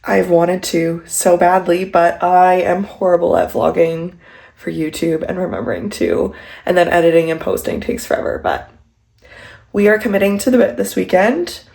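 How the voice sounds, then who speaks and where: A young woman recording a casual vlog at home, her voice stuffy and congested from a cold. She speaks low for a woman, with a gravelly fry running through her chatty, filler-heavy talk. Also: lively intonation, normal speed, darkish tone. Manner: chatty, rambling, frequent "like" and "um". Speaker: vlogger and pilates instructor.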